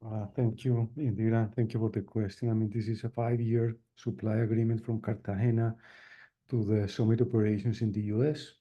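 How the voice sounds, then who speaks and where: A man's voice: lowish voice, level tone, fairly quick. A man speaking as a company executive, answering questions on a business call, with muffled call audio.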